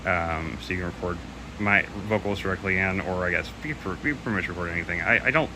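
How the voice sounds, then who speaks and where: A man with a very deep-pitched voice talks through a piece of equipment off the cuff. His delivery is loose and a little unsure, like an informal walkthrough. The homemade recording has clearly audible noise behind him. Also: quick pace. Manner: hesitant, loose, admits gaps in knowledge. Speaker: presenter demonstrating audio equipment. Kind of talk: casual unscripted product demo. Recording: clearly noisy home recording.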